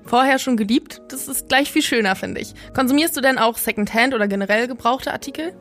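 A woman's voice: fairly high pitch, a little fast, a bit bright, faint music behind. A young adult woman co-hosting a podcast, chatting casually and presenting. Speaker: podcast co-host.

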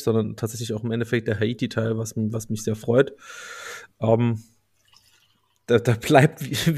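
Mid-pitched man, circling his point. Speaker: podcast co-host. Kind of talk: casual music review chat.

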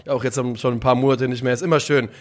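A man co-hosting a casual football podcast, chatty and quick with asides. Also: mid-range voice, steady tone. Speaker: podcast co-host.

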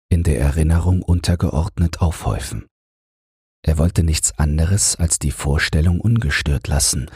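A man with an extremely low, husky voice reads literary prose for a bedtime audiobook. His delivery is calm and measured, recorded very dry and close. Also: no room sound. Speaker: audiobook narrator.

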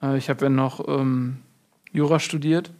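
A man playing a muddled, rambling fortune cookie writer in a deadpan comedy interview. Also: mid-range voice, a little slow, close to an everyday voice. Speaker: mock interview guest. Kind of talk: improvised comedy sketch.

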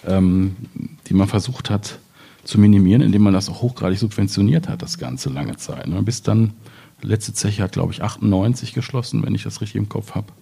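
A man's voice: lowish voice, brisk, creaky, warm tone, breathy edge. A man from the Ruhr area in Germany, a poet talking casually and explaining things at a reading.

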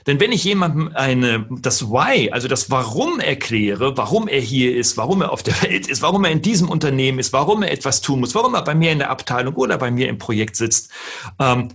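A middle-aged man with a very husky, breathy voice. He speaks in an earnest, emphatic keynote manner and slows down on the words he wants to stress. His pitch sits right in the ordinary middle for a man. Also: a bit bright. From northern Germany. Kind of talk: spoken talk on leadership.

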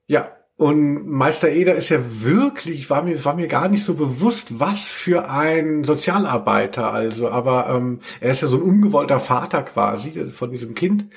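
Male podcast host, voice on the higher side, casual chat style, wry and jokey. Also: lively intonation, normal speed, creaky, hardly any pauses. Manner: wry, joking asides. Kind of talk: casual humorous podcast chat about audio dramas.

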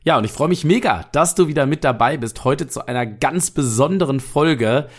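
A man's voice: mid-range voice, normal speed. Young male podcast host, upbeat motivational monologue, still a bit run down from being sick, speaks straight to the listener. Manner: energetic, motivational, addresses listener directly. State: recovering from illness.

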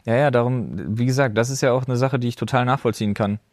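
Male co-host, average pitch, very dry recording, emphatic and blunt in casual podcast discussion. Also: steady tone, fast talker, darkish tone, no room sound. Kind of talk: casual discussion among friends.

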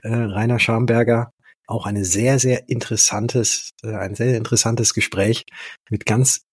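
A man's voice: medium pitch, steady tone, normal speed, breathy edge, dry recording, studio quality. A man hosting a podcast interview, asking questions in an upbeat, conversational way. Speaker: podcast host.

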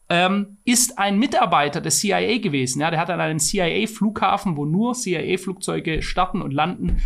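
A man's voice: high voice, vocal fry, fairly bright tone. A man co-hosting a podcast, talking in an emphatic, argumentative way, his voice climbing when he stresses a point. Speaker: podcast co-host. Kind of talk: casual conversational podcast.

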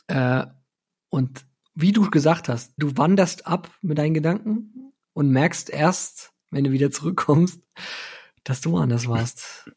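A man talks casually about music as a podcast co-host. His voice is on the higher side and rather husky. He is measured and conciliatory. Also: a little mellow.

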